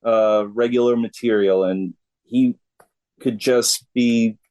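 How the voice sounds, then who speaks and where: A man's voice: medium pitch, fairly even intonation, takes it slowly, a little mellow. A middle-aged American man, a musician chatting in a laid-back, plain-spoken way as an interview guest. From United States. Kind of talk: casual interview conversation.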